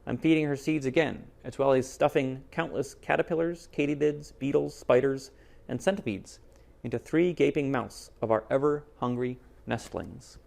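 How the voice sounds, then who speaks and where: A man voicing a proud, devoted father cardinal in a children's picture book, telling of family in a fond, gently boastful way. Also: medium pitch, moderate pace, gravelly, natural voice.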